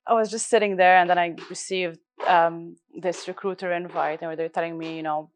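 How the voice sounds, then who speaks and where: Lebanese-born woman, 30s, slightly low voice, explaining her job at a panel, easy fluent talk. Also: steady tone, a little fast. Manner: fluent, explanatory, with light fillers. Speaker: guest speaker, research director. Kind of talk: live panel interview.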